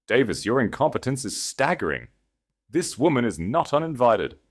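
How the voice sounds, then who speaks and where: A man narrating an audiobook, voicing a ruthless, commanding billionaire of sixty with a deep, gravelly voice. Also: sing-song, normal speed. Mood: cold command.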